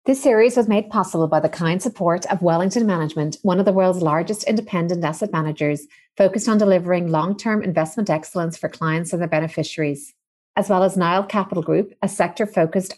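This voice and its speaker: A woman quickly reading a scripted sponsor credit. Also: lowish voice, a little mellow. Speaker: sponsor announcer.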